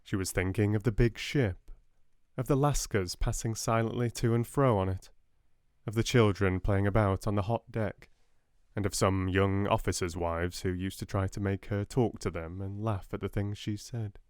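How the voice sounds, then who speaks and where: Soft-spoken, low-pitched British man narrating. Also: fairly even intonation, normal speed, clean tone, dry recording, clean studio sound. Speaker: audiobook narrator.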